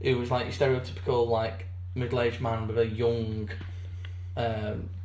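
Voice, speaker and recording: A man co-hosting a podcast in casual banter, his voice slightly hoarse, with a dry, teasing manner. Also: mid-range voice, completely flat delivery, unhurried, a little mellow, reverberant, rough recording. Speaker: podcast co-host.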